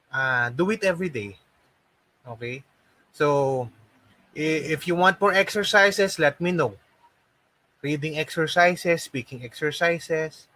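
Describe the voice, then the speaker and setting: A man's voice: on the high side, sharp tone, no room sound. A man with a Filipino accent teaching a live online lesson, repeating his points and asking questions to encourage learners. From Philippines. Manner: repeats phrases and asks rhetorical questions, encouraging. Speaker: online English and call center trainer.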